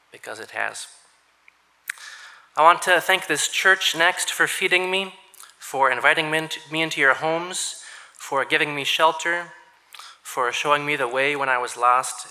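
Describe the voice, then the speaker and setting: Young man from Minnesota, earnest church speech, dry humor, very bright tone. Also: mid-range voice, poor audio. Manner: earnest, frequent throat-clearing, dry understated humor. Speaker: seminary graduate giving a speech. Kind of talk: heartfelt graduation speech to a church. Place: church hall with audience.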